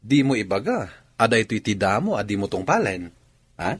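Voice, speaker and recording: Teasing talkative man, Ilocano Filipino accent, animated husky voice, dry studio radio comedy. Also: lowish voice, a little fast, dry recording. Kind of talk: radio comedy-drama acting.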